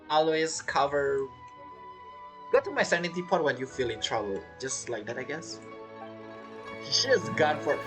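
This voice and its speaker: Female game streamer, low-pitched, very expressive intonation, casual and rambling, reacting aloud. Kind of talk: casual let's-play commentary.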